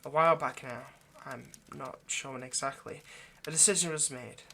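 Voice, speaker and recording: A man playing an anxious, lovesick vampire kidnapper in an intimate audio roleplay, speaking in a halting, pleading way. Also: medium pitch, fairly even intonation, vocal fry, sharp tone, a little hiss or hum. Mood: grave, explanatory. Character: anxious, lovesick male vampire kidnapper, centuries old but youthful.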